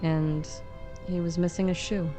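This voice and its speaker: A woman's voice: on the low side, level tone, a little slow, over music. A woman co-narrating a fiction podcast, telling a scripted story in short, descriptive, conversational phrases.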